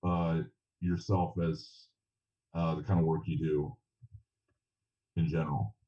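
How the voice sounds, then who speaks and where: A man interviewing casually, asking conversational questions in a halting way with restarts. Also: very deep voice, little pitch movement, mellow. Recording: dull roomy echoey sound.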